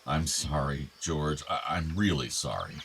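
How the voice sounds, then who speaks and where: A man's voice: a bass voice, expressive, measured pace, gravelly, a bit bright, slight background noise, exaggerated voice. A man acting a meek, regretful older father in a naturalistic radio play, apologetic and hesitant. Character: regretful, meek father of two grown sons.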